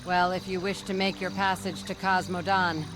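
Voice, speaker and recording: A woman's voice: lowish voice, flat delivery, normal speed, lightly acted. A woman playing an impatient, no-nonsense elf ranger in a fantasy game cutscene. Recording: clear background noise.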